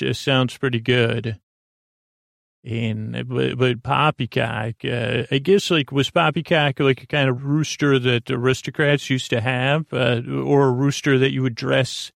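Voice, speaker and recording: A man hosting a sleep podcast in a rambling, improvised bedtime monologue, hesitant and gravelly, often trailing off and circling back. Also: mid-range voice, dry recording, studio quality.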